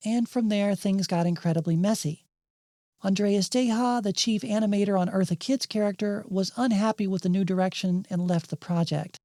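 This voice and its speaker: A woman's voice: medium pitch, mellow, no echo, clean studio sound. A woman hosting and narrating a scripted video essay. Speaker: narrator and host.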